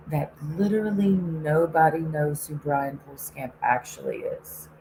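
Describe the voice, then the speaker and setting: A woman's voice: deep voice, background noise. A woman hosting an online show in a casual, sarcastic rant, often laughing and swearing. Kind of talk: casual ranting monologue.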